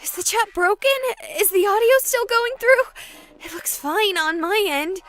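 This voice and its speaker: An actress voicing a high-strung, easily panicked young woman streamer in a dramatic visual novel style. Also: expressive, unhurried, fairly bright tone, exaggerated voice. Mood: terrified. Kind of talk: animated visual novel voice acting.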